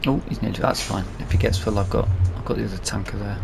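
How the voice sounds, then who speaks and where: Northern english bloke grumbling through a game, lowish creaky voice, loud engine noise behind him. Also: male voice, fairly even intonation, fast talker. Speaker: gaming video host. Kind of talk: casual let's play commentary. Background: farming game vehicle and ambience sounds.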